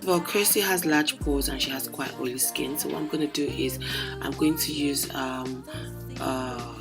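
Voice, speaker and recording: A young woman makeup artist with a hoarse, lost voice, narrating a tutorial with many hesitant ums. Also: deep voice. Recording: music playing underneath.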